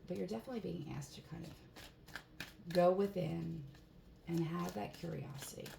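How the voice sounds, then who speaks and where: A woman with a hoarse voice giving a casual tarot card reading in a relaxed, chatty way, with plenty of fillers. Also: on the low side, normal speed. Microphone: phone. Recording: echoey room, faint background hiss.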